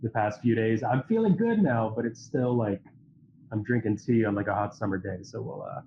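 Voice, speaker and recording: A man with a fairly low voice speaks as a guest, sounding stuffy from a cold. He talks earnestly and at length, leaning on fillers as he thinks. The audio is dull and lo-fi with a faint hiss underneath. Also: normal speed. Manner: earnest, rambling, filler-heavy. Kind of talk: casual chat.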